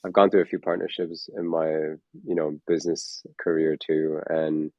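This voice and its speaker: A man's voice: extremely low pitch, level tone, moderate pace, no room sound, muffled recording. A man in his forties, a fitness coach, talking in a casual chat, rambling with lots of fillers and restarts. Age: 40s.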